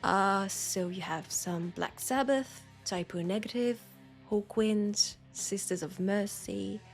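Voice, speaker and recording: A woman with a French accent acting a nostalgic, playful old school friend in an intimate, casual audio roleplay, with an unhurried, teasing manner. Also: mid-range voice, creaky, faint music behind. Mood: casual, enthusiastic. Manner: unhurried, teasing, breaking into soft laughs.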